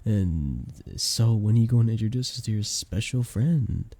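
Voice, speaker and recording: A young man acting a flustered best friend with a hidden crush in an intimate ASMR romance roleplay, soft-spoken, casual and hesitant. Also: lowish voice, moderate pace, no room sound. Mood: awkward, evasive.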